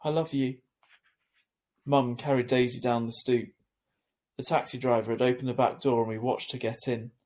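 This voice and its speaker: A man's voice: mid-range voice, flat delivery, brisk, darkish tone. A man with a mid-range voice narrates a children's novel. He reads expressively, like a teacher sharing a book with a class. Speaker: teacher reading aloud.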